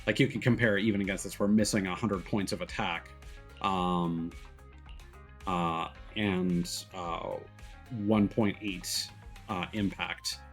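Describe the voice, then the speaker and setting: Male game streamer, lowish voice, casual and analytical with halting delivery, music playing underneath. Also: fairly even intonation. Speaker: streamer, host of a gaming live stream. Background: background music.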